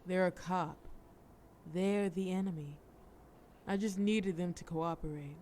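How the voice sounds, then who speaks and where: Woman playing a suave, slow-talking mafia boss. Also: lowish voice, warm tone, slight background noise, close to an everyday voice. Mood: cool, defiant. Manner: wry, wordy, teasing formality. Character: suave, sly mafia boss Luzon, coolly flirtatious. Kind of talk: scripted audio roleplay acting.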